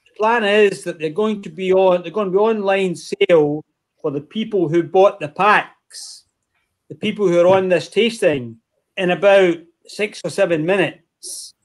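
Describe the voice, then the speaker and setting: A chatty man hosts a tasting over a video call, his voice sitting fairly high for a man. He talks in an easygoing, friendly way with quick asides, and the sound is plain laptop-call audio. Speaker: host of an online whisky tasting. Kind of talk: casual chat on a video call.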